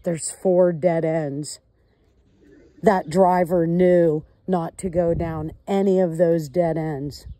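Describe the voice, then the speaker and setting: A woman caller on a recorded call, recounting events to law enforcement step by step in a deliberate, emphatic manner. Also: fairly low pitch, steady tone, dark tone, a little hiss or hum.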